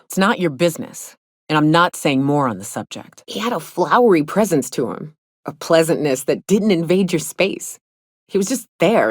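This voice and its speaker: Low-voiced, gruff frontier huntswoman. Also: no room sound, studio quality. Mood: admiring storytelling. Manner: gruff, folksy frontier phrasing, blunt. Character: tough, grieving, foul-mouthed huntswoman in a space frontier. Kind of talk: video game character dialogue.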